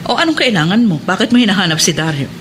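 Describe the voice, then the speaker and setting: A woman's voice: mid-range voice, sing-song, rapid-fire, noisy background, lightly acted. Woman acting cold, curt aunt in roomy space. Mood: suspicious. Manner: curt, interrogating. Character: cold, suspicious aunt, middle-aged, dismissive. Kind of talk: Filipino radio drama acting.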